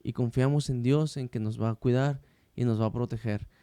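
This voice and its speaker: A man's voice: medium pitch, steady tone, moderate pace, warm tone, clear, resonant tone, no echo, clean studio sound. A man hosting a casual faith podcast, chatty and slangy, with many restarts. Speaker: podcast host.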